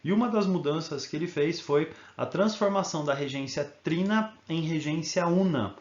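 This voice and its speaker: A man's voice: a little high, moderate pace, roomy sound, muffled recording. A male history teacher giving a recorded video lesson, explaining in an informal way and often checking in with tag questions.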